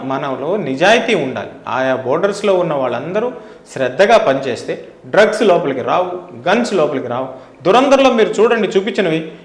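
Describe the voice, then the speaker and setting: A middle-aged man from India, speaking emphatically as an interview guest, with rhetorical questions and repeated phrases. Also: high-pitched. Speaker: interview guest, former air force officer. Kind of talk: opinionated interview talk. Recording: roomy, echoing indoor sound.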